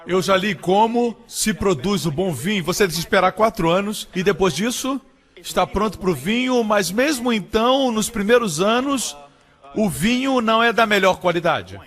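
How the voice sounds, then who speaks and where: A man voicing a preacher's sermon in a dub, stressing key phrases by jumping higher and louder. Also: animated, moderate pace. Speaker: voice-over for a preacher. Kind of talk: dubbed sermon.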